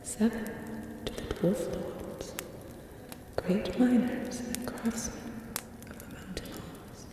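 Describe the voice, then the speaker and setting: A woman voicing a solemn narrator of an ancient fantasy legend in breathy ASMR whispers, with slow, dramatic pauses. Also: medium pitch, lightly acted. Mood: solemn, ominous. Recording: roomy long reverb, distant, background hiss.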